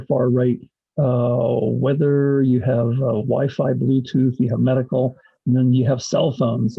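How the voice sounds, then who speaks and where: Gravelly, husky man giving an informal presentation. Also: medium pitch, warm tone, highs cut off. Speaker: college instructor and radio club advisor giving a presentation. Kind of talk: informal slide presentation, explaining.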